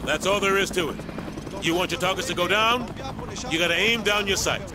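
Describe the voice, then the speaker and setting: A brash American sergeant, played by a male actor, instructs recruits in a military game. His voice sits high for a man, and he projects blunt, no-nonsense commands to the group. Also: brisk, heavy background noise, close to an everyday voice. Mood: commanding, blunt. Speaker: drill instructor. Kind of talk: military game tutorial dialogue.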